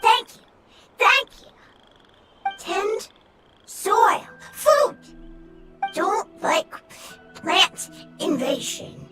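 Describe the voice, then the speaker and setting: A woman voicing a small, timid creature in a game that speaks in halting, broken words. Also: sing-song, far from the mic, full character voice. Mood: eager. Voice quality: high, halting broken speech. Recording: game audio with music behind.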